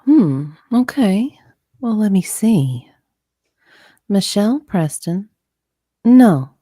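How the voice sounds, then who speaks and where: A woman reading the part of a guarded, politely stubborn witness in a dramatized deposition, measured and evasive. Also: mid-range voice, very animated, mellow, no echo, clean studio sound, heavily acted.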